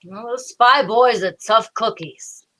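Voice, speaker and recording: A woman's voice: a little high, slow, pushed and bright, full character voice. A woman playing a tough, casual pal of a detective, trading hard-boiled banter in an old-time radio drama. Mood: wry, casual.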